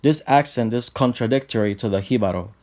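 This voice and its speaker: A man's voice: mid-range voice, brisk. Puerto Rican man narrating an explainer. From Puerto Rico. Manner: list-like, steady delivery. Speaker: channel host.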